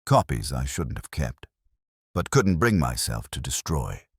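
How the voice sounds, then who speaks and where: A man voicing a tense, guilt-ridden woman who speaks quietly and hesitantly in a detective audio drama. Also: extremely low pitch, very animated, unhurried, husky, no room sound, clean studio sound, voice pushed down, full character voice. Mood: subdued.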